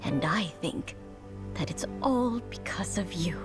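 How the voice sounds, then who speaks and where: A woman voicing a kind, grateful old lady in a game cutscene, speaking warmly. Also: fairly low pitch, animated, measured pace. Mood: tender. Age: older. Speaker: game character. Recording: game audio with music behind.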